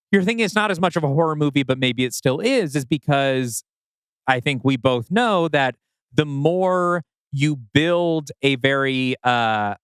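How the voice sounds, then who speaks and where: A man co-hosting a podcast, casually critiquing a story and acting out imagined quotes in an animated way. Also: mid-range voice, clean tone, no echo.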